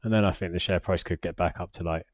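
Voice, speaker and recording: A man's voice: deep voice, steady tone, quick pace, no room sound, lo-fi sound. A British man in his forties giving a casual, unscripted finance vlog commentary in a hesitant, rambling, self-deprecating way. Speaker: personal finance vlogger.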